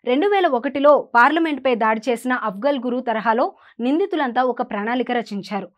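A woman's voice: on the high side, animated, fast talker. A woman, reading scripted news as an anchor in a formal, projected delivery.

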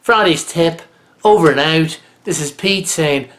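A man's voice: on the high side, measured pace, fairly bright tone. Chatty man in his fifties. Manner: chatty, folksy, mutters while carving. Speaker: bushcraft channel host. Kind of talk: casual webcam tutorial. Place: home office indoors. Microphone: webcam on desk.

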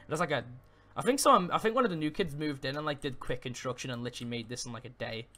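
A man's voice: medium pitch, quick pace, pushed and bright. A man chatting casually in an improvised gaming roleplay, rambling and often repeating himself. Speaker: player in a Minecraft roleplay video. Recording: game music behind the voice.

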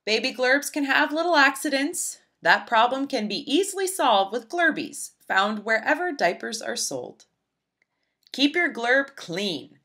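A woman reading a children's picture book aloud in an expressive, emphatic storybook style. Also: a little high, measured pace. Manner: animated, emphatic, storybook delivery.

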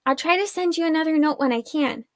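A woman's voice: sits high, fast, no echo, clean studio sound, pitched above the performer's own voice, lightly acted. A woman voicing a teenage girl in an audiobook, earnest and anxious, asking question after question. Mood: tender.

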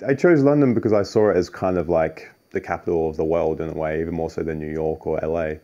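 A man in his mid-twenties with an Australian accent chats about himself in an interview. His voice is deep and mellow, and his phrasing is casual. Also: quick pace, dull audio. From Perth, Western Australia. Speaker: documentary interviewee. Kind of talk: casual personal account.

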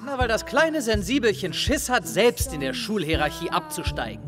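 Smug scheming schoolboy, reverberant hall. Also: male voice, sits high, big pitch swings, normal speed, music in the background. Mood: accusing. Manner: taunting, theatrical. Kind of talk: audio drama acting. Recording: long reverberant hall sound.

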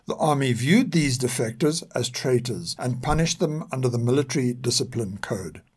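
Husky older South African man narrating. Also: medium pitch, animated, normal speed, darkish tone. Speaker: podcast narrator. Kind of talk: scripted narration.

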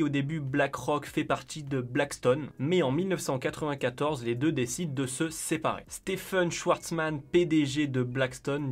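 Chatty man explaining finance. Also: a little high. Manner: chatty with joking asides. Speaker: finance YouTuber. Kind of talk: casual explainer narration.

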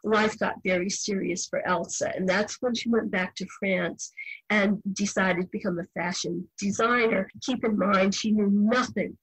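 A woman in her sixties, a novelist, talking as a podcast guest in a lively, storytelling way with emphatic asides. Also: mid-range voice, slightly rough, no echo, low-quality recording. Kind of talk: podcast interview, relaxed storytelling.

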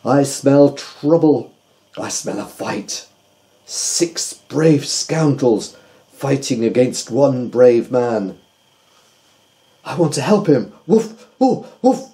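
A man voicing a loyal male dog in a storybook, mumbling and growling slowly. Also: medium pitch, animated, mellow.

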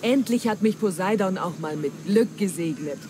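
An actress playing a wily, flattering pirate treasure hunter in a dramatic German game dub, with theatrical, coaxing delivery. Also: female voice, medium pitch, normal speed, lightly acted. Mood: hopeful. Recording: clear ambient noise.

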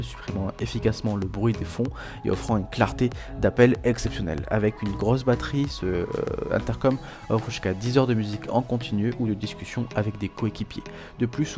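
A man presenting a scripted product review as a voice-over, reading aloud with small stumbles and self-corrections. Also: lowish voice. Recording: voice-over with music behind. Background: background music.

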